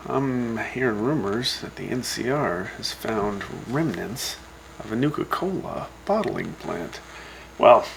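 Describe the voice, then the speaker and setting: A man playing a laid-back, folksy host of a post-apocalyptic wasteland radio show, giving news in a casual, unhurried way. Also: fairly low pitch, creaky, a bit bright, a little hiss or hum, heavily acted, poor audio.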